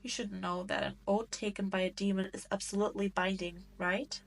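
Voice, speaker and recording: A woman's voice: medium pitch, fairly even intonation, fairly quick, gravelly. A woman playing a cruel, seductive demon queen in an audio roleplay, taunting and condescending as she toys with her prey. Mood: amused, taunting. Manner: taunting, haughty, condescending, teasing. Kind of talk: scripted roleplay audio drama monologue.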